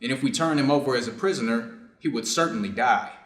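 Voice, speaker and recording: A middle-aged Japanese surgeon, read by a man in a staged story. He speaks formally and deliberately, reflective and clinical yet conflicted. The room adds a clear echo around the voice. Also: mid-range voice, lightly acted. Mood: clinical concern. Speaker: reader's theater performer. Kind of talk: staged reading of a short story, measured delivery. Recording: echoey room.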